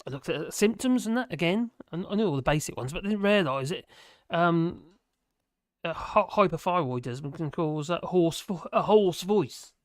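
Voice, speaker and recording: Hoarse streamer chatting live, meandering and self-deprecating, mid-pitched, dry close sound. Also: expressive, moderate pace, dry recording. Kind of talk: casual live-stream chat.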